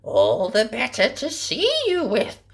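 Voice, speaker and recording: A woman's voice: a little high, huge pitch swings, slow, vocal fry, voice pushed up, full character voice. A woman voicing a wicked old male wolf from a storybook, coaxing and mock-sweet.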